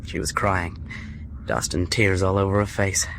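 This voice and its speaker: A man's voice: low voice, steady tone, a little slow, creaky, a bit bright, slight background noise, heavily acted. A man acting a loyal, protective young American prisoner of war in a period radio drama. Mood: tender, earnest.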